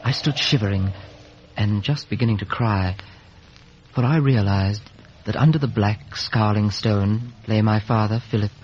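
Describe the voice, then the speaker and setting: An actor playing a grown British man soberly recalling his bleak childhood in measured radio drama narration. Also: fairly low pitch, a little mellow, husky. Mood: somber, reflective. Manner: measured, grave, literary. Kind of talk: scripted first-person radio drama narration. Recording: dull, hissy 1950s radio recording.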